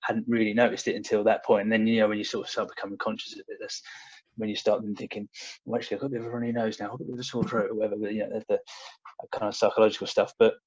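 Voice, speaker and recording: A man's voice: lowish voice, level tone, racing, darkish tone, husky. A young man talking casually as a podcast guest, hesitant and full of fillers and restarts. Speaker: podcast guest, personal trainer. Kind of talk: casual conversational storytelling. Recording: dull lo-fi audio.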